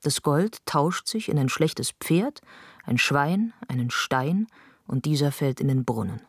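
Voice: mid-range voice, lively intonation, a little slow, breathy edge, no room sound. Dry, close literary narrator.

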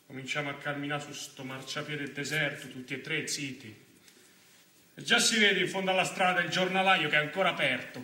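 An anxious young militant telling his story on stage in a thick Roman accent. The voice is high and very sharp, halting and repetitive. It is picked up from afar in a roomy space. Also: heavily acted. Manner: halting, repeating himself, colloquial. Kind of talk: theatrical first-person stage monologue. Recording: roomy stage sound, distant mic, faint noise.

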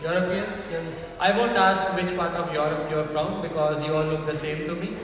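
Young male Indian-accented comic, slightly high voice, dry crowd teasing, heard from far off in a reverberant hall. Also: fairly even intonation, moderate pace, a bit bright. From North India. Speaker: stand-up comedian. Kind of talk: stand-up comedy with audience banter. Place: echoing church hall at a festival. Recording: distant mic, very echoey hall, audience noise. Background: audience.

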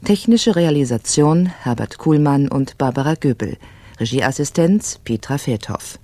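Radio announcer doing end credits, soft dark tone, medium pitch, really dry. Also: animated, a little slow, no room sound. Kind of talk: scripted closing credits announcement.

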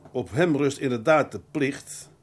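Seasoned police detective played by a male actor, radio drama, slightly husky, deliberate and schoolmasterly, mid-pitched. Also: lightly acted. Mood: firm, reasoning. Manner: measured, patronising, pedantic. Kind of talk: Dutch radio drama acting.

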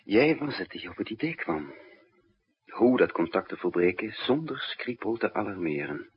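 Casting: world-weary male spy recounting his story, deep and swinging dramatically, for a muffled vintage radio drama. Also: big pitch swings, normal speed, slightly rough, exaggerated voice. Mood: grave, tender. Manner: dramatic first-person storytelling. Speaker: narrator and lead character. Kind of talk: 1960s radio drama acting. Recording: dull muffled 1960s radio recording.

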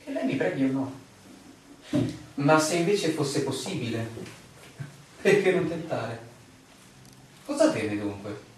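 A man's voice: fairly high pitch, big pitch swings, slow pace, pauses often. An actor playing a stubborn, slightly arrogant young male newcomer in an Italian radio play, arguing politely but insistently. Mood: defiant. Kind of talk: theatrical radio drama dialogue. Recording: very echoey, distant, noisy ambience.

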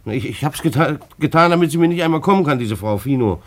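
A man's voice: fairly high pitch, animated, fast talker, fairly bright tone. A man acting in a German radio drama as an agitated, self-justifying bailiff, stammering and repeating himself as he pleads. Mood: insistent, agitated.

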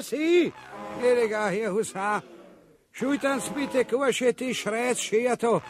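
An actor playing a cowardly, pompous, grumbling king in a farcical radio play, swearing and ranting in a Viennese Austrian accent. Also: high voice. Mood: bossy, wheedling. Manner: ranting, swearing, blustering with stammered repeats. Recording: music behind the voice.

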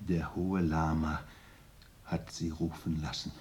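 An actor playing a deferential envoy of a lamasery in a radio drama, speaking formally and deliberately. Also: male voice, very deep voice, level tone, darkish tone. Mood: awed, formal.

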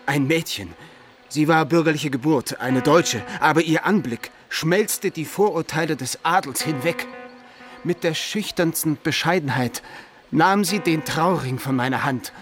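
A man's voice: fairly high pitch, fairly bright tone. A man acting a proud, desperate, lovelorn young nobleman in a German radio play, speaking in earnest, impassioned monologue. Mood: wistful. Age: young adult.

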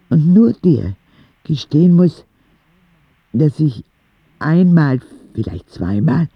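A man's voice: a little high, gravelly, breathy edge, dry recording, lo-fi sound, full character voice. A man playing a candid, eager young boy who narrates his story confidingly in a radio drama. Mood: confessional.

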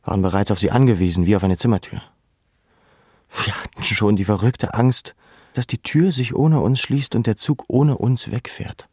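A man looking back on his deportation to a camp. His voice is low and creaky, recorded very dry and close. He narrates in a measured, reflective way, as in a literary audio drama. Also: a little mellow, no echo. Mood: sober, uneasy. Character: male camp survivor soberly recalling his deportation.

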